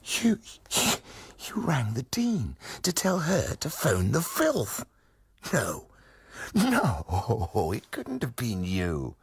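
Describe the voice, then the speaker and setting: An actor in a comic radio play playing an excitable, indignant university lecturer, raspy and theatrical, with a sardonic edge. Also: male voice, medium pitch, drawn-out words, no room sound, clean studio sound, full character voice. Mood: incredulous. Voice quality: raspy, breathy, creaky.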